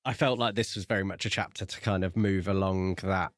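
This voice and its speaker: Lower-voiced man in casual chat. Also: steady tone, brisk, a bit bright, no echo, studio quality. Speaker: podcast co-host discussing books.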